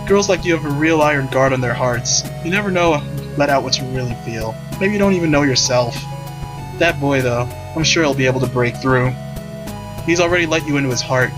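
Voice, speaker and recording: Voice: mid-range voice, fairly quick, natural voice. A carefree male ghost from a visual novel, as acted by a reader, talks in a lazy, jovial way. He teases casually, with music playing behind him. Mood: carefree, teasing. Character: carefree teasing male ghost of Christmas Past. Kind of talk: visual novel character reading.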